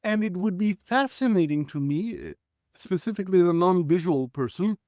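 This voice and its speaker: A man whose first language is Hebrew talks in a smooth, high voice, thinking aloud and restarting his sentences. He clears his throat now and then. The sound is close and dry. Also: expressive, moderate pace, a little mellow, dry recording. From Israel. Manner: articulate, reflective, with frequent restarts and throat clearing. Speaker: guest. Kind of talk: conversational storytelling.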